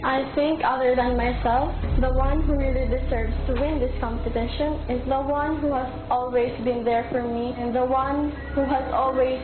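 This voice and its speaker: A woman's voice: sits high, steady tone, normal speed, hardly any pauses, noisy background. A woman from Brazil, a native Portuguese speaker, answering as a contestant, hesitant and searching for words. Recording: echoey tinny lo-fi broadcast with music.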